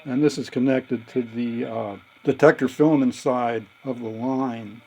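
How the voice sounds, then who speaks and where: Guy walking thru a repair job step by step, kinda mellow n gravelly, matter of fact. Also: mid-range voice, steady tone, unhurried, low-quality recording. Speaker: hobbyist presenter demonstrating radio repair. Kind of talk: explanatory hands-on demonstration.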